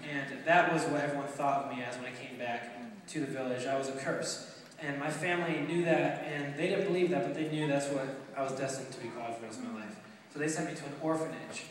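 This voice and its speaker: A man's voice: mid-range voice, steady tone, recorded from a distance. Young man of nineteen giving a heartfelt testimony with stumbles, recorded from afar in a very echoey hall. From Romania. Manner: earnest, halting, many ums and restarts. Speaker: speaker telling his adoption story. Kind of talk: testimony speech to an audience. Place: large hall with an audience.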